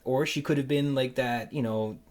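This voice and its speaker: Casual man hosting a podcast, halting delivery. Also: mid-range voice, fairly even intonation, darkish tone. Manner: halting, rambling, playfully joking. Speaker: podcast host interviewing a guest. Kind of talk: casual interview chat.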